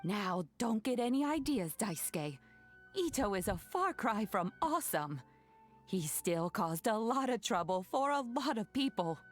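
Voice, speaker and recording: A woman's voice: medium pitch, very animated, measured pace, soft background music. An actress playing a skeptical, scolding female guardian in a video game, admonishing in a matter-of-fact way.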